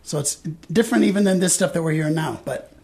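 Creaky, higher-voiced male songwriter. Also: lively intonation, fast talker, darkish tone. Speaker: band songwriter being interviewed. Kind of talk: casual interview chat. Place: live in a radio studio.

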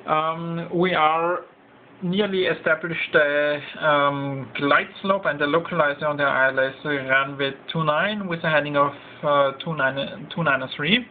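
Halting higher-pitched man on tinny audio. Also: fairly even intonation. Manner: halting, hesitant, pausing mid-sentence. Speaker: demonstrator of a home-built flight simulator. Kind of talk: informal demonstration narration. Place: indoor home cockpit simulator room. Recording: tinny narrow-band phone-like sound, noisy background.

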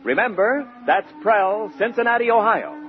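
A man's voice: high-pitched, lively intonation, moderate pace. An American man announcing in polished, scripted 1940s radio style. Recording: dull old radio recording with music.

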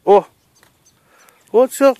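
A man's voice: extremely slow, mellow. A man filming outdoors at a lakeside, bossily calling out instructions and laughing. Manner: bossy, laughing, swearing, giving orders. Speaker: person filming a fishing trip. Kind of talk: casual outdoor banter.

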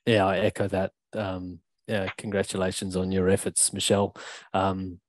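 A man's voice: low voice, level tone, moderate pace, no room sound. A middle-aged man moderating a panel on a video call through a headset, affirming and steering questions.

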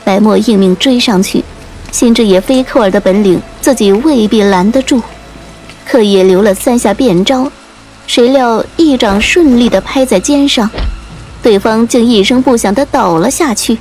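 A woman reading a dramatic audiobook story in a measured, literary storytelling style. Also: on the high side, darkish tone, low-quality recording. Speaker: audiobook narrator. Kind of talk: dramatic scripted storytelling narration. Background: faint music.

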